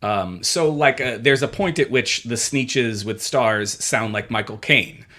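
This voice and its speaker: Man in his thirties, podcast co-host: bright, forceful timbre, meandering delivery with lots of restarts. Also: medium pitch. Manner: rambling, halting, full of fillers and restarts. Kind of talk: casual comedic chat.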